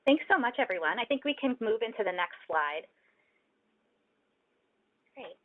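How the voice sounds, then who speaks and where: A woman's voice: fairly high pitch, animated, moderate pace. A woman presenting a webinar, talking with frequent ums and restarts. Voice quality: hesitant, many ums and restarts. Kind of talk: scripted-ish webinar talk with frequent fillers. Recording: tinny phone-like line.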